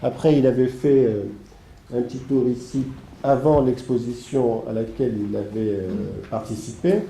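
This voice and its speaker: A man with a congested head cold gives a halting, digressive introduction as host of a seminar. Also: medium pitch, steady tone, mellow. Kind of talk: spoken introduction of a seminar, semi-improvised. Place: seminar room with an audience. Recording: echoey room with faint background noise.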